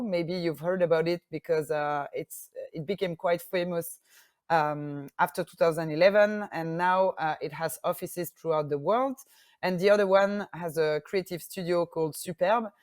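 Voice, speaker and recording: A woman with a Belgian French accent giving a conference presentation in a steady voice, with frequent fillers and restarts. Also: lowish voice, no echo. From French-speaking Belgium. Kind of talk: presentation talk.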